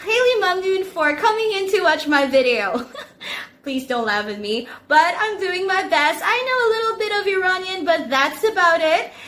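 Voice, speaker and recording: A woman's voice: very high-pitched, animated, moderate pace, fairly bright tone. A woman hosting a reaction video, talking in a chatty, enthusiastic and slightly shy way. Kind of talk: casual reaction vlog chat.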